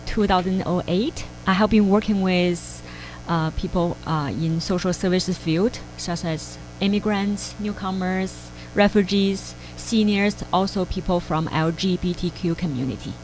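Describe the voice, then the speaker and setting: A woman from China with a Chinese accent, a radio guest speaking in a measured way with pauses. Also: on the low side, a little mellow, faint music behind, low-quality recording. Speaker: podcast editor and guest. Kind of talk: radio interview. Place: radio studio.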